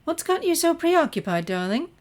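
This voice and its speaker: A woman's voice: very high-pitched, sing-song, moderate pace, voice pushed up. A woman voicing a genteel, fussy teenage girl with refined manners in a story. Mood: concerned. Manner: refined, calls others darling.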